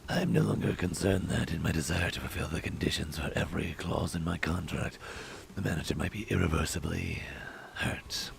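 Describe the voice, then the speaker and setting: A man voicing a stern, guarded guide in a game, formal and measured, with a gravelly, breathy low voice. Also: steady tone, background noise, full character voice. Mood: solemn. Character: stern, guarded male tour guide bound by contract.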